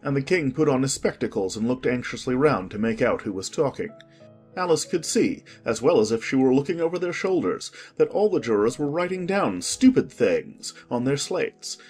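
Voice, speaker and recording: A man narrating a dramatized audiobook with expressive storytelling. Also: mid-range voice, slightly rough.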